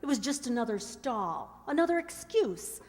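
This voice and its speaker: A woman's voice: a little high, big pitch swings, normal speed, darkish tone. A woman playing a brassy, scheming waitress mistress in a radio mystery drama, with a slangy, emphatic, dramatic delivery. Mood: bitter, determined. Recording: reverberant hall stage recording.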